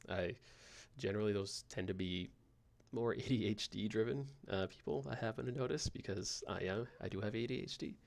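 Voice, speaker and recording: A man in his twenties with a soothing voice, hosting a sleep podcast in a slow, meandering monologue with frequent fillers. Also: lowish voice, vocal fry. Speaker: podcast host.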